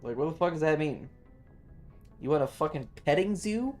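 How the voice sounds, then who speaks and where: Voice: mid-range voice, animated, moderate pace, darkish tone. A live streamer giving casual game commentary in a playfully bratty, sweary manner. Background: faint game music.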